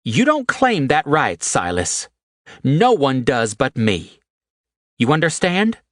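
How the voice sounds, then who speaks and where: A man's voice: high-pitched, very animated, a little slow, no room sound, clean studio sound, voice pushed up, full character voice. A man voicing a tearful, fierce young woman. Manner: pleading, fierce.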